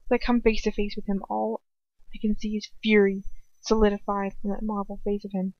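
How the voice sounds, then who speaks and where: A woman narrates a dramatic story in the first person at a middle pitch, emotive and a little halting. She stumbles and restarts now and then. The sound is dry and slightly dull, like a lo-fi home recording. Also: little pitch movement, no room sound. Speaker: amateur fan fiction reader.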